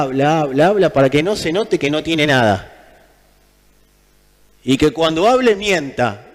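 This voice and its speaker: A man with an Argentine accent preaching a sermon in an emphatic manner, repeating phrases for effect. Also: fairly high pitch. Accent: Argentine Spanish. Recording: long hall reverb.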